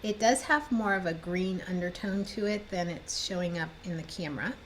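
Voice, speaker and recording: A woman's voice: fairly low pitch, moderate pace, a little hiss or hum. A woman in her fifties, a little stuffed up, chatting cheerfully and rambling as she shows her cross-stitch projects at home. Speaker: cross-stitch designer and vlogger. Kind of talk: casual show-and-tell chat. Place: home room with bookshelves, indoors.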